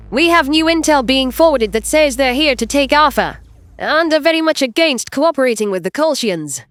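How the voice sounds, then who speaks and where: A man voicing a brisk, decisive female starship captain who gives orders with authority in a science fiction audiobook. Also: very high voice, a bit bright, dry recording, voice pushed up.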